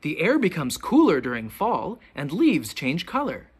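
A teacher reading a children's picture book aloud, with expressive, deliberate questions pitched to young children. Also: husky. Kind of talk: children's storybook read-aloud.

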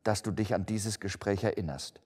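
A man's voice: fairly low pitch, normal speed, a little mellow, breathy edge. A man voicing a stern, doomed male ghost in chains for a storybook, solemn, declamatory and lamenting. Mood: urgent, warning. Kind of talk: dramatic storybook reading. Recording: long hall-like reverb.

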